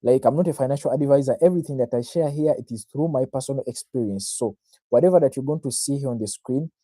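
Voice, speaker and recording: Mellow, dark-voiced Ugandan man livestreaming. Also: mid-range voice, quick pace, no echo. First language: Luganda. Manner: self-assured, addresses viewers directly, repeats phrases, checks in with "right?". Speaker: trader, livestream host. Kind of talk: informal live market analysis, explaining to viewers.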